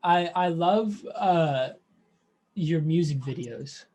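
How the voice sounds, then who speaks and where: A man's voice: on the high side, animated, slow, darkish tone. A young man interviewing in a casual, hesitant, slangy way. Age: young adult. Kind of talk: casual chat.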